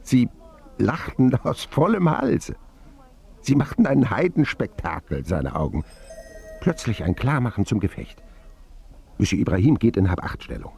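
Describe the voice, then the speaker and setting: An actor playing a grown man who narrates his boyhood memories in a radio drama, wry, reflective and expressive. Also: mid-range voice, a little slow, gravelly, long pauses, breathy edge, heavily acted. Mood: wry, amused.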